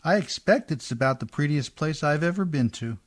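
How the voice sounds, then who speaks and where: A man's voice: on the high side, expressive, a little fast, close to an everyday voice. An actor in a radio play playing a humble, plucky riverboat man with folksy, rustic diction, deferential and plain-spoken. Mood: tender, hearty.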